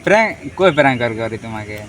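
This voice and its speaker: A man's voice: medium pitch, sing-song, rapid-fire, noisy background. A man chatting casually as a prank-call host. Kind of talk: casual chat to viewers and on a phone prank.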